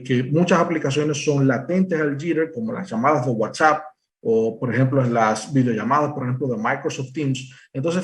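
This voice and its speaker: A man's voice: a little high, darkish tone. A young man with a Dominican Spanish accent giving a technical product presentation, explaining step by step with many fillers and restarts. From Dominican Republic. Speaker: presales engineer presenting.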